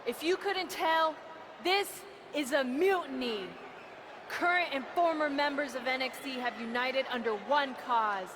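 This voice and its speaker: Rebel wrestler, high voice, defiant, confrontational, scripted promo, noisy background. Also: female voice, unhurried. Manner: declarative, confrontational. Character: defiant female wrestler leading a mutiny.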